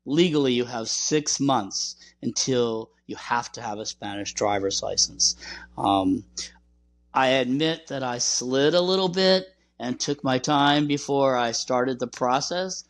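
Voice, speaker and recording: A man hosting a podcast, explaining things in a measured, conversational way. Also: mid-range voice. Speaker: podcast host.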